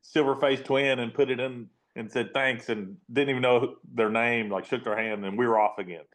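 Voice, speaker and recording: Man, baritone, host, casual talk, halting restarts. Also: steady tone, fairly bright tone. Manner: halting, repeats words when starting. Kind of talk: casual conversation.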